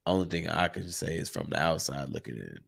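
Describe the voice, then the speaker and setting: A man with a very low, gravelly voice co-hosting a podcast, sounding congested. He talks in a laid-back, candid way, swearing freely as he chats. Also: animated, fairly quick. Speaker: podcast co-host. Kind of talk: casual podcast chat.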